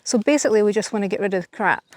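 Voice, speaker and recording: A woman's voice: mid-range voice, racing, no echo. A woman co-hosting a vlog, chatting casually outdoors. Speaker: vlog co-host. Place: outdoors in an overgrown island garden. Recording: faint outdoor background noise.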